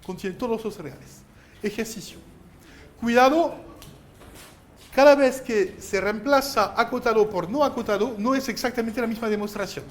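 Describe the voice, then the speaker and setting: A man whose first language is French, lecturing a university math class and explaining each step with halting restarts. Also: very high voice. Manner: explains step by step, insistent, gently scolding; halting, restarts while writing on board. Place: lecture hall.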